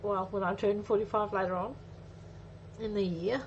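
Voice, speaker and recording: A woman's voice: medium pitch, fairly even intonation, normal speed. A woman in her forties chatting casually with viewers as she hosts a live craft demonstration, thinking aloud as she goes. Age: 40s. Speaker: craft livestream host. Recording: muffled audio with faint background noise.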